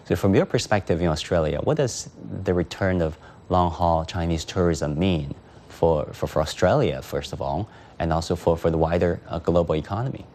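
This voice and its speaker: A man's voice: low-pitched, moderate pace, a little mellow, slight background noise. A man hosting a panel discussion, reading out figures and then putting questions to his guests. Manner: reads statistics, then poses questions. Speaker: show host.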